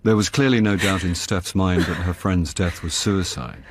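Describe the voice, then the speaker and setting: A man's voice: low voice, normal speed, husky. A British actor playing a wry, weary middle-aged man in a radio drama, with a dry, self-deprecating manner. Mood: wry narration. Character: wry, weary, hapless middle-aged male actor turned amateur sleuth. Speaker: narrator and lead actor. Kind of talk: radio drama acting and first-person narration. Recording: radio drama with background noise and ambience.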